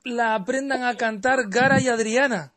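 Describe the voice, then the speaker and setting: A man's voice: very high-pitched, moderate pace, bright, forceful tone. A man teacher on a radio chat, explaining plans in a friendly way.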